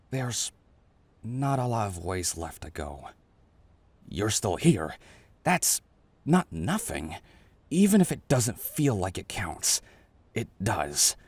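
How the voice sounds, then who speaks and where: A man voicing a gentle, weary older man in a soft, breathy, halting ASMR roleplay, wistful and comforting toward the listener. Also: fairly low pitch, no echo, full character voice. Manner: halting, tender, murmured delivery with trailing pauses.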